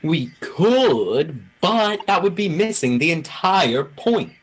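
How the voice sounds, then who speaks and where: A performer playing a calm, cryptic forest guide who speaks solemnly in an amateur radio play. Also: mid-range voice, husky, full character voice. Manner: slow, solemn, knowing.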